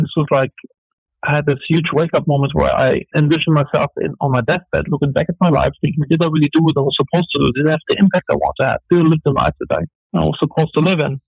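A man's voice: fairly high pitch, gravelly, husky, no echo, low-quality recording. A man with a German accent, a business guest on a radio talk show, answering in an earnest, filler-filled way. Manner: earnest, fast, full of fillers. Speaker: entrepreneur guest.

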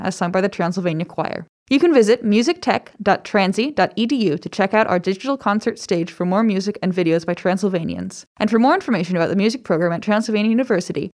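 Woman podcast host, thoughtful interviewer. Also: medium pitch. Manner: thoughtful, sums up guest's points. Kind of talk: interview questions and scripted outro.

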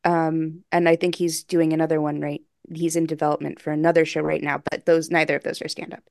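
A woman's voice: deep voice, level tone, fairly quick, creaky, a little mellow, dry recording, studio quality. A woman co-hosting a podcast, chatting casually with blunt, hyperbolic fan enthusiasm. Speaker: podcast co-host.

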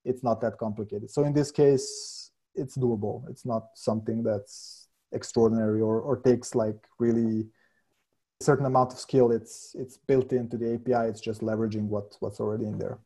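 A man whose first language is Hungarian, a software developer guest answering eagerly, laughing often and repeating words as he thinks. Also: medium pitch, steady tone, moderate pace, slightly rough. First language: Hungarian and Serbian. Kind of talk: casual interview answers.

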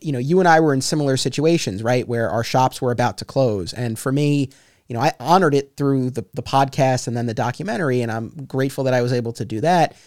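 A man's voice: medium pitch, fast, a little mellow, dry recording, clean studio sound. A man hosting a podcast, rambling a little with lots of fillers and restarts. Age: 30s. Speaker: podcast host. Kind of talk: casual interview chat.

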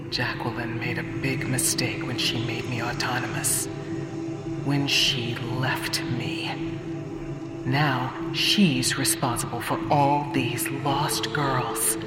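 Sinister AI villain, performed by an actor, slow, ominous, heavy echo, audio drama. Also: medium pitch, flat delivery. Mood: brooding, resentful. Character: sinister autonomous AI lake, abandoned and bitter, narrating. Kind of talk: scripted audio drama narration and villain role. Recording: echoey long reverb under loud music.

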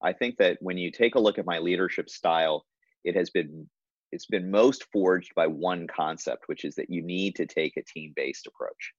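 A man, a hospital leader, speaking in a measured, reflective way with many fillers and restarts in a conversational interview. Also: low-pitched, breathy edge, no room sound, muffled recording.

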